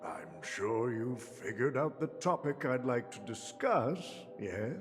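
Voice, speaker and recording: Scheming old magnate for a game: grandiose, unhurried, very animated delivery, long reverb with music. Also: male voice, mid-range voice. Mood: courteous, sly. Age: older. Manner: theatrical, chuckling, verbose businesslike courtesy. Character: wily, jovial old male tycoon, grandiose and scheming. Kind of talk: video game story dialogue acting.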